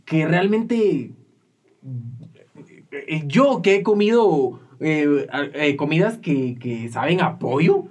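A man's voice: on the high side, lively intonation, slow pace, darkish tone. A man in his twenties with a Guatemalan accent, chatting casually and jokily as a podcast host. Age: 20s. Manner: informal, jokey. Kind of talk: casual chat.

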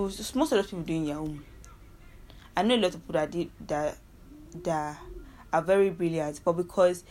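A woman's voice: lowish voice, darkish tone. A young woman with a Nigerian accent, hosting a casual solo podcast and talking earnestly, rambling and correcting herself as she argues her point. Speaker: podcast host.

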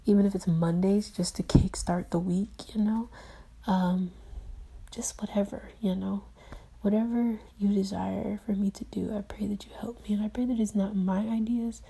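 A woman speaking in a soft, hushed half-whisper, earnestly rambling through a personal video diary and spoken prayer. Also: medium pitch, gravelly, slightly rough. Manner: earnest, rambling, with many fillers. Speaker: video diarist.

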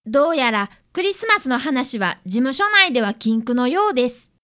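Radio drama boy sleuth, about ten female actor: very high, animated, eager and courteous, Kansai-tinged. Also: moderate pace, background noise. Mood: cheerful, polite. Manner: earnest, polite, eager. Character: earnest, polite fifth-grade boy, apprentice detective. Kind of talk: community radio drama acting.

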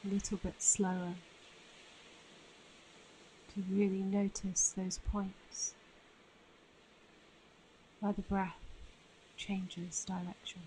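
Slow, even-toned woman guiding meditation. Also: medium pitch, slight background noise, poor audio. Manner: soothing, unhurried with long pauses. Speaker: meditation guide. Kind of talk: guided meditation narration.

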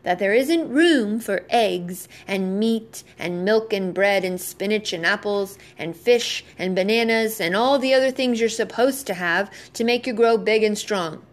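A woman's voice: medium pitch, a little slow, few pauses, lightly acted. A woman voicing an exasperated father in a children's story, reasoning insistently with his son. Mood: lecturing. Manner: insistent, questioning, persistent.

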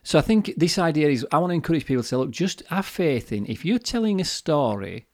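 A man's voice: a little high, sing-song, fast talker, no echo, clean studio sound. A man co-hosting a podcast, explaining his views in a fluent, chatty way with acted-out quotes. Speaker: podcast co-host. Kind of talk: casual chat. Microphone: desk microphone.

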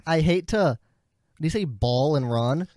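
A woman's voice: low voice, animated, unhurried, darkish tone. A woman co-hosting a casual film review chat, tossing in quips. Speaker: podcast host.

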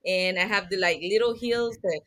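Woman telling lively stories. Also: medium pitch, normal speed. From Colombia. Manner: lively storyteller, mixes Spanish and English. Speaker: podcast guest. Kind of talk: casual interview chat.

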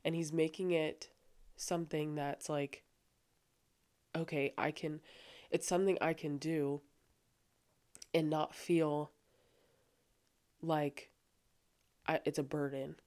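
A young adult woman with a low voice talking earnestly and casually on her faith podcast, with frequent fillers. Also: level tone, slow pace, pauses often, darkish tone, clean tone. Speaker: podcast host. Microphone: studio microphone.

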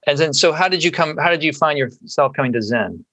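A man's voice: on the high side, animated, fairly quick, a bit bright, breathy edge. Man, podcast host, chatty, searching for words. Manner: rambling, many restarts and fillers. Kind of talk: conversational interview.

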